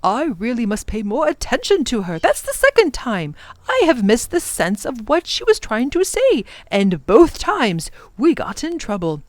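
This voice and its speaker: A woman's voice: fairly high pitch, wildly swooping pitch, a little slow, darkish tone, no room sound, pitched above the performer's own voice. A woman voicing a fussy, kindly male professor in a storybook, exclaiming and instructing in an old-fashioned way. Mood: gently lecturing. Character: fussy, kindly male professor, easily flustered, rallying children.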